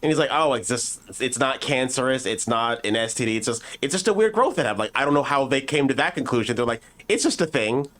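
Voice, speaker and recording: A man's voice: medium pitch, animated, fast, few pauses, fairly bright tone. A man co-hosting a podcast, telling a casual, profane, rambling story and often acting out other people's lines in mock dialogue. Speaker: podcast co-host.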